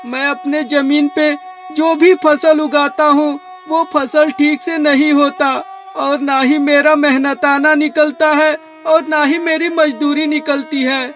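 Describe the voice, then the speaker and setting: An actor playing a poor, anxious village farmer in a moral story cartoon, speaking in a plaintive, dramatic way. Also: male voice, extremely high pitch, little pitch movement, takes it slowly, a bit bright, heavily acted. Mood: despairing, pleading. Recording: music behind the voice.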